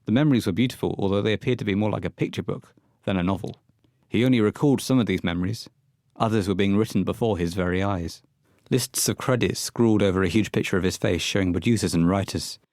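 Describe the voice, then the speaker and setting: A man narrating a scripted audio horror play in a measured, literary storytelling manner. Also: lowish voice, a little mellow, no echo, clean studio sound.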